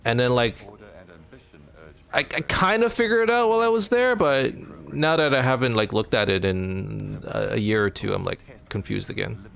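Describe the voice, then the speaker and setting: A man's voice: normal speed, vocal fry. Rambling young man streaming with chat. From Canada. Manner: rambling, filler-heavy, pitch jumps up when animated. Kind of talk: casual chat with live chat while gaming. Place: home.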